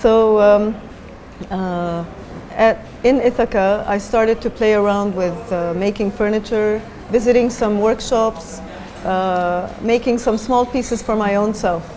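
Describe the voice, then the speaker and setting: A woman's voice: medium pitch, a little slow, a little mellow, slight background noise. A middle-aged woman from Japan, a designer talking as a guest in an onstage interview, thoughtful and hesitant with many fillers.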